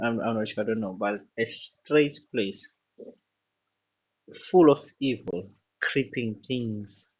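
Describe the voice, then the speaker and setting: Non-native English man, lowish voice, halting scripture reader who stops to comment. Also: poor audio. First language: not English. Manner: halting, stops often to correct words and exclaim. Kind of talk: reading scripture aloud with casual commentary.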